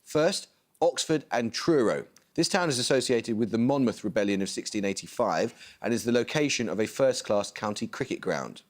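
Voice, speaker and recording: A middle-aged man hosting a quiz show in a television studio, reading questions in a measured, precise, formal way on a close broadcast mic. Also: mid-range voice, animated. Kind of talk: scripted quiz presenting.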